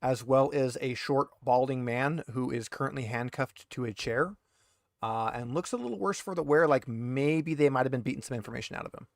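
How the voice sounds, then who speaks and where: A man's voice: medium pitch, a little fast, vocal fry. A man working as game master, narrating a tabletop roleplaying game.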